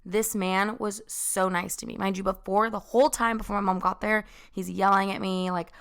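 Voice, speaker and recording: A young woman telling a personal story in a casual, chatty way, full of fillers and quick asides. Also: mid-range voice, flat delivery, fairly bright tone, smooth. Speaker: vlogger.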